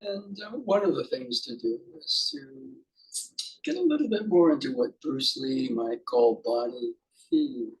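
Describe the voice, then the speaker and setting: A man's voice: medium pitch, sing-song, creaky, rough recording. Man musing through a guided meditation. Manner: halting, musing, speaks in short fragments with pauses. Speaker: meditation teacher.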